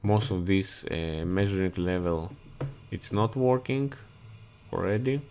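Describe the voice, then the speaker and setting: Slow, low-voiced man touring an engine room. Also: darkish tone, a little hiss or hum. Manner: non-native English, slow and repetitive, doubles words for emphasis. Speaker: marine chief engineer giving a ship tour. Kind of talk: explanatory walkthrough video. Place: ship engine room, indoors. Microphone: body-worn action camera.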